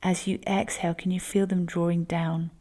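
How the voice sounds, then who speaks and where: A woman instructor leading a guided breathing exercise, speaking gently and unhurriedly. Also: lowish voice, level tone, moderate pace.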